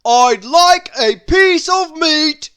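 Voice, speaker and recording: A woman voicing a very noisy cartoon man who bellows his words in a slow, booming shout. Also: animated, exaggerated voice. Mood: loud, demanding.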